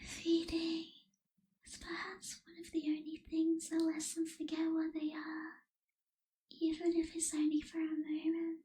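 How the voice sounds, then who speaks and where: Woman as slow, very high, whispering lonely vampire girl. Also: completely flat delivery. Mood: melancholy, reflective. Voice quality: soft, breathy, whispery. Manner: slow, hushed, wistful, gentle pauses. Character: lonely, melancholy young female vampire, newly turned. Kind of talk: ASMR roleplay, soft whispered intimate delivery.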